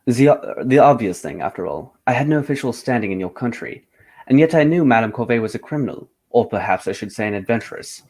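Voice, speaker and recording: A man plays a gentleman detective in a radio drama. He has a mid-range male voice and speaks with polite formality. His delivery is composed and measured, as if laying out a case. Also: mid-range voice, animated.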